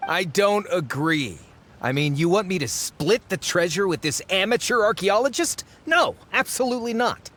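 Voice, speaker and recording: A brash, greedy treasure hunter. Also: male voice, sits high, animated, moderate pace, fairly bright tone, a little hiss or hum. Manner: brash, dismissive. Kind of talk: video game voice acting. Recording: game score playing faintly.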